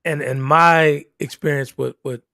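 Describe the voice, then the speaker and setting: A man's voice: on the high side, steady tone, a little slow, creaky, bright, forceful tone, no room sound, studio quality. A man co-hosting a live-streamed chat, laid-back and affirming as he greets viewers.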